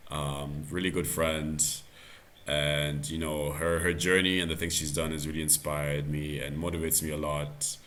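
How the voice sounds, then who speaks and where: A man's voice: rumbling low, flat delivery, normal speed, fairly bright tone. A young man hosting a podcast, talking casually and filling his speech with likes and you knows. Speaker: podcast host. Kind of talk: casual interview talk.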